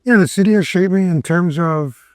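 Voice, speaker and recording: A man's voice: sits high, normal speed, dry recording, studio quality. A man in his thirties from Miami, talking loosely as an interview guest in a café, full of "you know"s. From Miami, USA. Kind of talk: casual interview.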